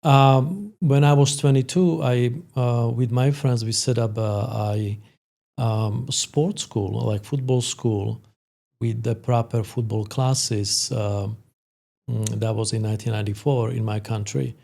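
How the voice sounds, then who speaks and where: Male expert guest, 50s, Slovak accent, gravelly and mellow, halting delivery. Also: medium pitch, steady tone. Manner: hesitant, halting phrasing. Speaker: sports psychologist, podcast guest. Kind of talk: interview conversation, explanatory.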